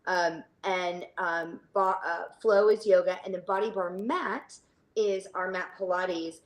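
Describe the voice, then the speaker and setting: A middle-aged woman speaking casually as an interview guest about her work, chatty and halting, often trailing into tangents. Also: lowish voice, steady tone, low-quality recording. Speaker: fitness studio owner, interview guest.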